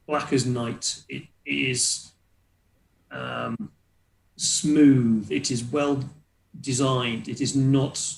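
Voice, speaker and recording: A man narrating a tabletop game as game master, improvising and halting as he searches for words. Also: medium pitch, gravelly. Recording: roomy, echoing room sound.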